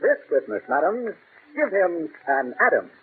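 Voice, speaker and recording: A man reading a brisk, salesy announcement for an old-time radio show. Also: fairly high pitch, big pitch swings. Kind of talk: 1930s radio announcer and ad read. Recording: lo-fi old radio broadcast, faint hiss.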